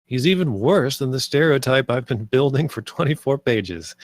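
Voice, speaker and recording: A man's voice: medium pitch, lively intonation, normal speed, dry recording. A man narrating stage directions at a live script table read.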